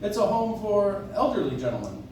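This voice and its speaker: A genial, dignified old Santa figure played by a man in a radio play, with lively, swooping delivery. He sounds far from the microphone in a noisy, echoing room. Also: high-pitched, fairly quick. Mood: calm, sincere. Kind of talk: live stage radio play acting. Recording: echoey noisy room, distant audience recording.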